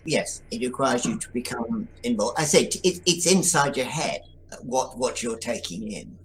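A man's voice: medium pitch, lively intonation, moderate pace, slight background noise, rough recording. A man, a writer, answering interview questions in a thoughtful, articulate way.

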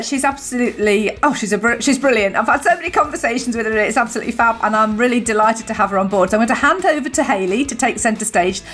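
A woman's voice: a little high, a bit bright. A woman hosting a podcast in casual interview chat, gushing and giggly, stumbling over her words as she talks. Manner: gushing, giggly, with many restarts. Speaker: podcast host.

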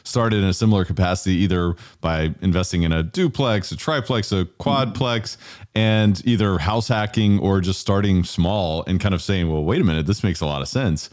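A man's voice: sits low, normal speed. A man hosting an interview, asking long, rambling questions with enthusiasm. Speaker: podcast host.

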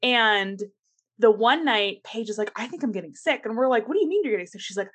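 A woman's voice: a little high, lively intonation, brisk. Chatty young woman telling stories. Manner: chatty, storytelling, laughs often. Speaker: co-host. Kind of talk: casual chat.

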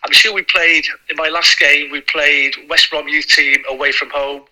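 Welsh guy, first language welsh, bright voice, tells old football stories, keeps restarting, phone-ish sound. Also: on the high side, little pitch movement, normal speed, poor audio. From North Wales. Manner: chatty, repeats himself, restarts sentences. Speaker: guest, former footballer. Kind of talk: casual reminiscing chat.

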